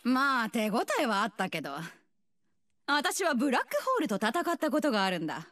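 An actress voicing a brisk, motherly, teasing and quietly ruthless middle-aged woman scientist in a game dub, with playful, theatrical phrasing. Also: on the high side, big pitch swings. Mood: mock sympathy, smug. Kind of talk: Japanese game dub voice lines.

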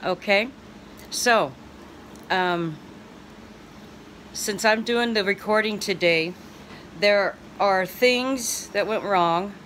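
An older woman filming a home cooking video on her phone, adding slow asides and chuckling now and then. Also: medium pitch, fairly bright tone, a little hiss or hum. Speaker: co-host filming. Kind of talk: casual cooking demo. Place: small home kitchen. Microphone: handheld phone.

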